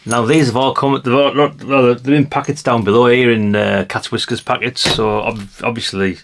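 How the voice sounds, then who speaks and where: A man's voice: mid-range voice, fairly even intonation, moderate pace, a little hiss or hum. A British man giving a casual hands-on show-and-tell as a vlogger, musing aloud in a rambling way with chatty asides to the viewers. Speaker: vlogger showing a haul of vintage CB radios.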